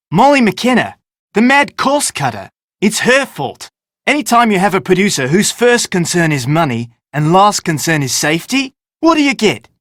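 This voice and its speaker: An actor playing a self-important, theatrical film director in an adventure game, speaking pompously with dramatic emphasis. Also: male voice, on the high side, fairly bright tone, no room sound, studio quality. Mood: accusing. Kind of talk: scripted game character dialogue.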